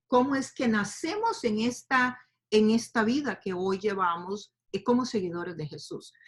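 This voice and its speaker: A woman's voice: fairly high pitch, a bit bright. Spanish-speaking woman teaching a Bible study. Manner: speaks to a group, asks them to open their Bibles, many fillers like "eh" and "este". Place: lives in the United States.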